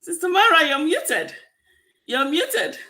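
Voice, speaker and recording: A woman's voice: very high voice, very animated, takes it slowly, bright, forceful tone. A Nigerian woman, a native Efik speaker, co-hosting a casual online discussion with a Nigerian accent. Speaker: co-host of a discussion show. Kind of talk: casual online panel chat.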